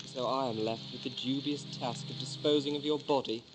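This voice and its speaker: A man's voice: mid-range voice, fairly even intonation, brisk, music in the background, natural voice, rough recording. A man playing a cold, courteous plotter in an audio drama, speaking with formal, drily menacing precision. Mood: cold menace.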